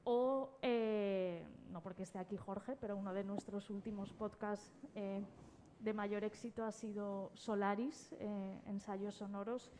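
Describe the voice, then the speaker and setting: A woman's voice: medium pitch, warm tone, slight background noise. A woman from explaining things as a panelist, thinking aloud and repeating words as she goes. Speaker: podcast editor, panelist. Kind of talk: spontaneous panel discussion. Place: live panel at a festival event.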